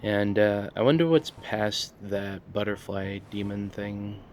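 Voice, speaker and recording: A man hosting a casual let's play, talking in a monotone and rambling with many fillers. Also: fairly low pitch, a little slow, slight background noise. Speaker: gaming channel host.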